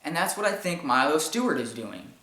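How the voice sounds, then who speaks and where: A man with a high-pitched voice giving informal opinion commentary in an explanatory, argumentative way with emphatic repetition. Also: sing-song, a little fast, sharp tone. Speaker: commentary show host. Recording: echoey room sound.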